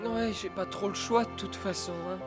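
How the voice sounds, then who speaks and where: An actor playing the timid, kindly ghost of a Roman gladiator in an animated cartoon, hesitant and dramatic. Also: medium pitch, normal speed, close to an everyday voice. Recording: music behind the voice. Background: cartoon score.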